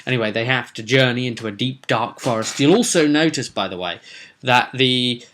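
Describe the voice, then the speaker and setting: A man talking informally as a game master in a vlog, in a rambling, digressive way with halting self-corrections. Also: mid-range voice, a bit bright, a little hiss or hum. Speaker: game master recapping his roleplaying sessions.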